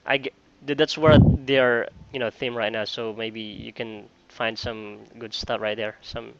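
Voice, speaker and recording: A man talking casually in a job interview call as a virtual assistant, halting and repeating phrases with frequent filler phrases. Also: mid-range voice, fairly even intonation, muffled recording, very noisy. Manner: halting, repeats phrases, often says "you know". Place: online call.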